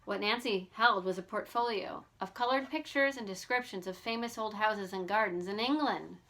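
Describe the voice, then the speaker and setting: A woman reading a novel aloud as an amateur audiobook narrator, in an expressive storytelling style. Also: medium pitch, normal speed.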